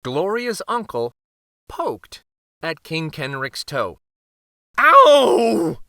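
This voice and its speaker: A man narrates a picture book for children with a very animated, swooping delivery. His voice is bright and closely recorded in a dry space, and he takes his time. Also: medium pitch, unhurried, no echo. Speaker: storyteller, read-aloud host.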